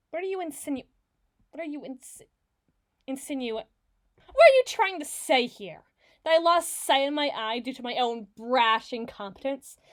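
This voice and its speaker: Woman acting a villainess, audio roleplay, higher-pitched, very animated delivery, hot-tempered, sarcastic, foul-mouthed, stubborn bluster. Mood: grudging, irritated.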